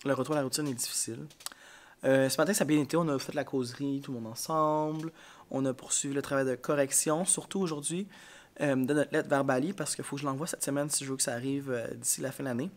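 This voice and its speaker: A man's voice: mid-range voice, fairly even intonation, fast. A male schoolteacher with a Quebec French accent, congested, chatting casually to camera in a rambling, tired and frank way. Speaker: elementary school teacher. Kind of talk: casual vlog talk to camera. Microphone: camera mic.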